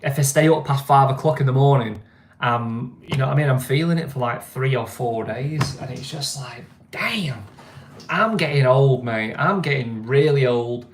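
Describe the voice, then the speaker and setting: A man's voice: mid-range voice, normal speed, reverberant, a little hiss or hum. A man with a Mancunian accent, chatting casually on a stream and often asking "you know what I mean". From England. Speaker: vlogger. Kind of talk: casual live-stream chat.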